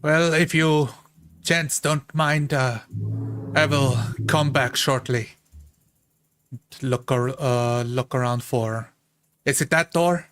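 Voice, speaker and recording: A man's voice: medium pitch, takes it slowly, long pauses, a bit bright. A man playing a tabletop roleplaying game, casually speaking in character and narrating his own actions.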